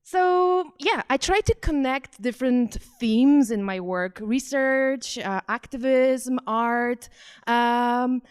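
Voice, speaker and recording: A woman's voice: sits high, takes it slowly, smooth. A woman from Poland with a Polish accent, giving an informal art presentation to an audience in a conversational, hesitant, self-questioning manner. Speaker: visual artist and researcher presenting her work.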